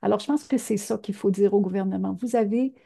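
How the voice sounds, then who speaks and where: A woman's voice: mid-range voice, quick pace, vocal fry, warm tone, husky. Older woman, earnest. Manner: earnest, many fillers. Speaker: presenter. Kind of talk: talk to seniors.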